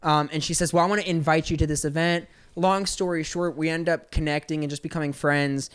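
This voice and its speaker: Smooth, higher-voiced young American man, dry sound. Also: fairly even intonation, a little fast, a bit bright, dry recording. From Georgia, USA. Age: 20s. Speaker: podcast guest. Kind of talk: casual conversational storytelling.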